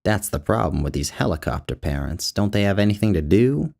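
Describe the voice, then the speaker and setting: A man acting a deep-voiced, indignant father. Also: sing-song, a little fast, creaky, darkish tone, full character voice. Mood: defensive, indignant. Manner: indignant, declaiming. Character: defensive, opinionated father, an aspiring writer's blurting character.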